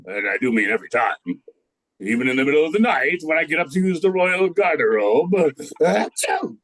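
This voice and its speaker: A man's voice: a little high, lively intonation, normal speed, fairly bright tone. A man playing a fussy, pompous king in a comic radio play, hesitant and blustering.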